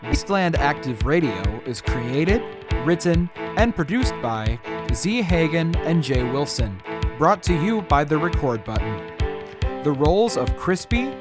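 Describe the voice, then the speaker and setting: A man's voice: on the high side, big pitch swings, unhurried, over music. A man reading scripted show credits as an announcer.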